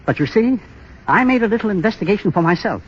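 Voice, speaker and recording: A menacing, desperate moneylender in a 1930s radio drama. His voice sits fairly high and swings widely as he presses his point with cold insinuation. The broadcast sounds dull and old. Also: lively intonation, fast, slight background noise. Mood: cold, insinuating. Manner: insistent, pointed, coldly insinuating. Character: desperate, menacing bank clerk and blackmailing moneylender.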